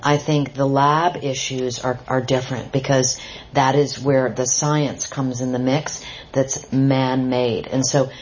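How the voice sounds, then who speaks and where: A woman's voice: very deep voice, flat delivery, unhurried. A woman author answering audience questions at a book talk, explaining points emphatically with frequent restarts. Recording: dull lo-fi live event recording.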